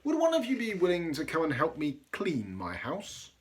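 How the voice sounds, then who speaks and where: A man voicing a polite, admiring hermit crab in a children's storybook, earnest and gentle, speaking slowly and courteously. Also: a little high, huge pitch swings. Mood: admiring, hopeful.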